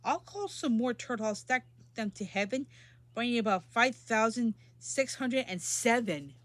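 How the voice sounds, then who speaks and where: A woman's voice: fairly high pitch, expressive, moderate pace, a bit bright, no echo. A woman voicing a boastful, bossy male turtle king from a storybook in a pompous, commanding bellow. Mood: indignant, defiant.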